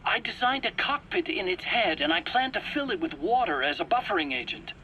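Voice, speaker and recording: A man's voice: sits high, big pitch swings, a little fast, sharp tone, muffled recording, slight background noise, full character voice. An actor playing an anxious, self-pitying male scientist in a video game cutscene, pleading and stammering indignantly in his own defense. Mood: proud explaining. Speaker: accused scientist under interrogation.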